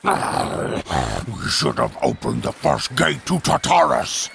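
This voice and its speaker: An actor playing a monstrous villain's henchman in a video game cutscene, growling slowly with menace. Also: medium pitch, huge pitch swings, background noise, full character voice. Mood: wary. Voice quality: gravelly growl. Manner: slow, drawn-out menace.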